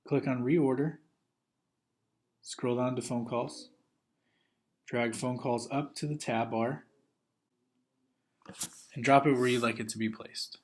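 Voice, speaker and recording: Methodical man narrating a software tutorial. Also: medium pitch, fairly even intonation, slow pace, long pauses. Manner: methodical, instructional. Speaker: software trainer.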